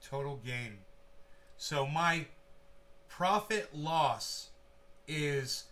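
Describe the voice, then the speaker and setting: A man's voice: on the high side, drawn-out words, bright, forceful tone. A man hosting a casual livestream, rambling and swearing freely while making sound effects with his mouth. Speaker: channel host.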